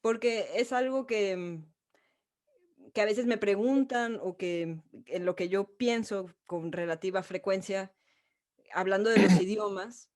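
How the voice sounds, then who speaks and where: A woman's voice: medium pitch, measured pace, darkish tone. A woman hosting an interview, thinking aloud in a reflective way. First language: Spanish. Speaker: host. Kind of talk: interview conversation.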